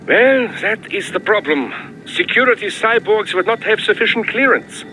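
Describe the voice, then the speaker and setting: An actor playing an eager, fussy scientist with a German accent, giving precise, theatrical mission advice in a video game. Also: male voice, sits high, animated, normal speed, sharp tone, a little hiss or hum, poor audio. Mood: explanatory. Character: excitable, fussy European male scientist mission adviser. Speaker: mission support over radio.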